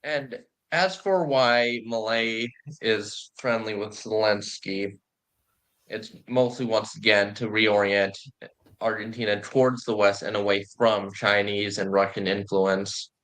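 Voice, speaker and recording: Bright-voiced Californian man chatting politics. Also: fairly low pitch, fairly even intonation, takes it slowly, low-quality recording. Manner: conversational, restarts mid-sentence. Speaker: stream host. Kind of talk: political commentary chat.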